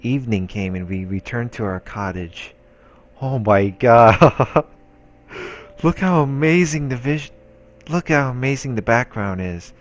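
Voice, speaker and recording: A man's voice: medium pitch, animated, measured pace, muffled recording. A man doing a let's play, reading visual novel narration aloud in a casual, informal way, stumbling now and then and adding his own comments. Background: background music from the game.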